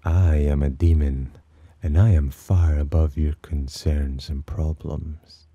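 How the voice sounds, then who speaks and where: Casting: male actor as condescending demon for ASMR roleplay, extremely low and husky, menacing yet teasing. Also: steady tone, slow, dry recording, highs cut off, exaggerated voice. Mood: haughty, probing. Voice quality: very deep, dark, husky. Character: haughty, teasing male demon, disdainful of humans, possessively protective. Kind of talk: ASMR roleplay, intimate scripted monologue.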